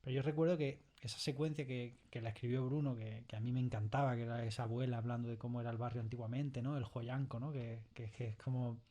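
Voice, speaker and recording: A man with a Castilian Spanish accent speaks as an interviewed creator in a studio. He explains things in an animated, conversational way, often repeating words for emphasis and tagging his phrases with short questions. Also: medium pitch, fast, hardly any pauses. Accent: Spain. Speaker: audio fiction creator being interviewed. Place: recording studio.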